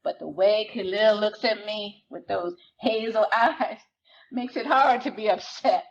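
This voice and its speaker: A woman performing a self-conscious, wry sixteen-year-old girl narrating her life in a slangy, expressive dramatic reading of a novel. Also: mid-range voice, unhurried, muffled recording, lightly acted. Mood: fond, frustrated. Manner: slangy, expressive, conversational storytelling.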